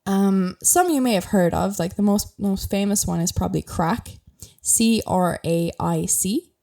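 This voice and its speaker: A woman's voice: mid-range voice, measured pace, vocal fry, warm tone. A young Irish woman with a mild Irish accent hosting a podcast, chatting casually while sick with a cough and laughing often as she explains things. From Ireland. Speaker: podcast host.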